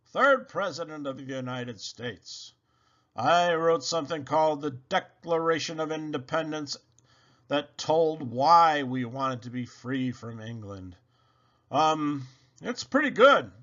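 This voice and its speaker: A theatrical schoolboy, voiced by a man, plays a president in a storybook and is grandly pleased with himself. His pitch leaps about and his tone is bright. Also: lively intonation, slow pace, highs cut off. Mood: self-satisfied. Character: theatrical, self-satisfied schoolboy writer playing Thomas Jefferson.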